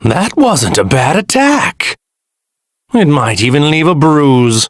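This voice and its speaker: A man voicing an arrogant, evil god in a stolen warrior body for an anime game, pompous, theatrical and menacing, with a husky, gravelly voice. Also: on the high side, big pitch swings, takes it slowly, no echo, studio quality, heavily acted. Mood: haughty contempt. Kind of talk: anime video game voice lines.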